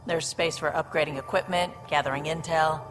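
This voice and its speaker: A low female voice with music behind it: an actress playing a confident alien gunner and fixer in a video game. She is brisk, streetwise and matter-of-fact. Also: steady tone, close to an everyday voice. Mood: proud, businesslike. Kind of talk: video game cutscene acting.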